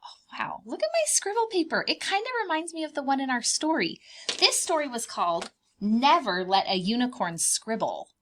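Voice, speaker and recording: A woman's voice: on the high side, a bit bright. A woman preschool teacher leading a children's art lesson step by step, speaking in simple, child-directed language with encouraging questions and repeated phrases.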